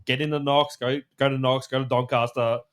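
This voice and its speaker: Man, podcast co-host, teasing, laughing banter, mid-pitched voice. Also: little pitch movement, brisk, fairly bright tone, clean tone. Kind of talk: casual sports podcast chat.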